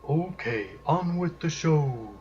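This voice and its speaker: A man's voice: a little high, expressive, takes it slowly, darkish tone, muffled recording, slight background noise. A man voicing a nice, naive puppet who hosts and narrates a children's story show. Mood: eager.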